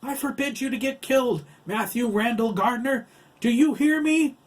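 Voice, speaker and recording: A man's voice: very high-pitched, normal speed, voice pushed up. A man voicing a frantic, stern, protective mother with insistent, scolding cries, in an audiobook.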